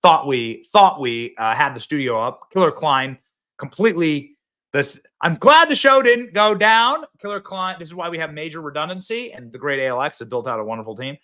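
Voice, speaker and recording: A man hosting a live political talk show from a phone, excitable and rambling, often repeating himself. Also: on the high side, moderate pace. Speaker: host.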